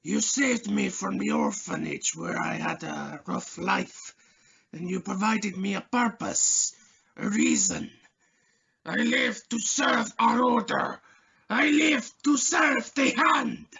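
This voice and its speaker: A man playing a fawning, devoted agent of a dark order in a radio play, speaking with servile fervor. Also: high voice, sing-song, slow pace, gravelly, slightly rough, exaggerated voice. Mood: fervent devotion. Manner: servile, fervent. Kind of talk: amateur sci-fi radio play acting. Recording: muffled recording with faint noise.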